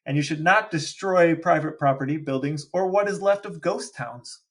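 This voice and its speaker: Slightly high-voiced man asking playful questions. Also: normal speed. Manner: reads out lists and asks playful questions. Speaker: podcast co-host. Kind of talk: casual chat between brothers.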